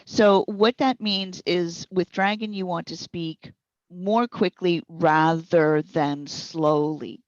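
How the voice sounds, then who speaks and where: Low, creaky-voiced woman explaining. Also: dry recording, highs cut off. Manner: explanatory, encouraging. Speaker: guest software trainer. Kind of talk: conversational instructional interview answers.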